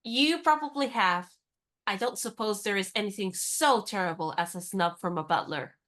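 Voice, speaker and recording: An actress in a radio play playing a spirited young woman disguised as a lady's maid, talking in a brisk, teasing, knowing way. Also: mid-range voice, a bit bright, close to an everyday voice.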